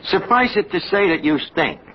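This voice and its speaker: An actor playing a wisecracking, uneducated tavern manager with a New York accent and a mock-genteel, sarcastic manner in 1940s radio comedy. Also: male voice, sits high, big pitch swings, normal speed. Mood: mock-formal. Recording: muffled, hissy old-time radio recording.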